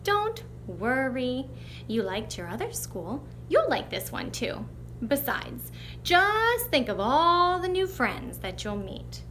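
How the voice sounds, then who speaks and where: Woman reading a coaxing storybook dad, slow and lively. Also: sits high, a little hiss or hum, heavily acted. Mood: reassuring. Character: patient, coaxing father, gently firm.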